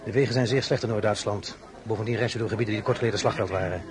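An actor playing an imperious French emperor in a radio drama, pompous, sardonic and smoothly commanding, shifting between grand address and pointed asides. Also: male voice, fairly low pitch, very fast, crowd noise, close to an everyday voice. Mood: coolly condescending.

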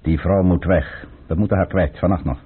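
An actor playing a cold, scheming Russian count in a Dutch radio play, theatrical and insinuating. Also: male voice, low-pitched, steady tone, brisk, slightly rough. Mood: cold, plotting. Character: scheming Russian count, commanding, cold, silky courtly conspirator. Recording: muffled, band-limited old radio recording.

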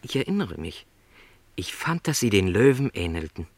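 Wistful middle-aged traveller, lively pitch swings. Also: male voice, moderate pace, no room sound. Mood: elated, rapt. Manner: reflective, rhapsodic storytelling in careful, literary phrasing. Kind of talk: literary radio drama dialogue.

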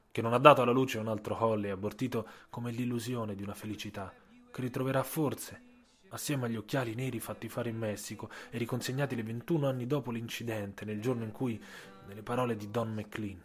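A man narrating a scripted radio audio story in a dramatic, literary way, with deliberate pauses. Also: on the low side.

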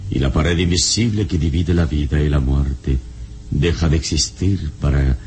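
Calm wise hero in an old radio serial, super deep voice, solemn, bit of hiss. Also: male voice. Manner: solemn, measured, philosophical. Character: calm, wise male hero who investigates spirits. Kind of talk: classic radio drama acting. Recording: old radio recording, clear hiss and rumble.

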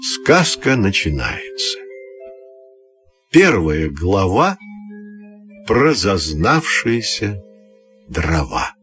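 A man narrating a scripted fairy tale for children's radio, telling it expressively and deliberately. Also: sits low, wildly swooping pitch, fairly bright tone, faint music behind. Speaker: storyteller.

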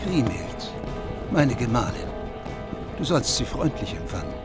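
A dignified, courteous king in a radio epic. His mid-pitched voice rises and falls freely over a bed of music. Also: sing-song, measured pace. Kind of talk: radio play acting of medieval epic.

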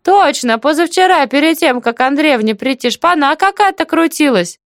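A woman voicing a hard-of-hearing, good-natured, nosy older village neighbor who chatters loudly in an audiobook story. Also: sits high, animated, normal speed, no room sound, studio quality, voice pushed up. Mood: eager, loud. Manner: loud, exclaiming, matchmaking chatter.

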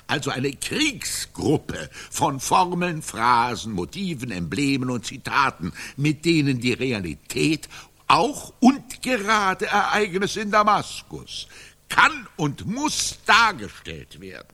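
An actor playing a pedantic medieval knight and self-styled poet, pompous and didactic, in a comic radio play. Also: male voice, fairly high pitch, huge pitch swings, slow, bright, forceful tone, breathy edge, full character voice. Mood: lecturing.

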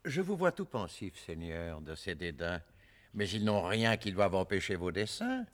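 A deferential confidant speaks formal stage verse. The voice is fairly low, with wide, animated intonation. His manner is courteous and reassuring. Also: normal speed. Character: deferential noble confidant, counselling his lord. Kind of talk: classical French verse comedy, theatrical declamation.

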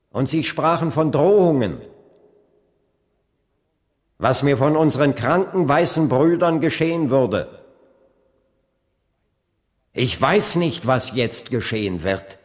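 An actor playing a solemn Baptist preacher and civil rights leader in a German radio play, speaking in grave, measured oratory. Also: male voice, medium pitch, animated. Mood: grave, resigned. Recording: long reverb of a large hall.

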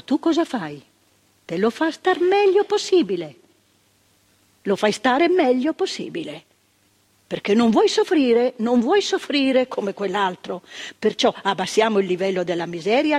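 A thoughtful woman who worked in a psychiatric hospital, speaking. Her voice is husky and breathy, and her pitch rises and falls a lot. It sounds like a theatre stage with a long, ringing reverb. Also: darkish tone, full character voice. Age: older. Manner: reflective, searching, repeating words for weight. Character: earnest woman psychiatric worker recalling the past. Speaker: actress in a stage monologue. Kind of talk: theatrical monologue.

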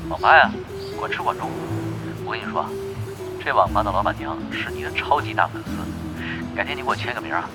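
A man acting a quick-talking, laid-back young gamer. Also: fairly low pitch, expressive, loud music behind the voice, close to an everyday voice. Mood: relaxed, teasing. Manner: casual, assured, dryly teasing. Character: laid-back, teasing, expert male gamer in his twenties. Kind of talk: Chinese audio drama acting.

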